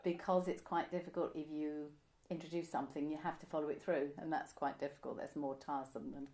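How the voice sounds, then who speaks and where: Older woman, sixties, low pitch, casual interview, hesitant, chatty. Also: little pitch movement, a little fast, darkish tone. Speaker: interviewee, amateur pantomime writer. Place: indoor room by a window, seated.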